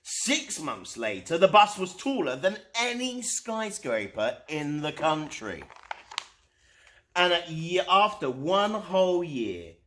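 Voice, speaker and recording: A man reading a children's storybook aloud as host, expressively and with dramatic pauses. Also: a little high. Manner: animated, unhurried, dramatic pauses.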